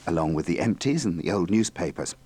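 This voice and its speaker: A man presenting a radio compilation, linking clips with dry, deadpan quips. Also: deep voice, brisk. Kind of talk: dry scripted links between clips.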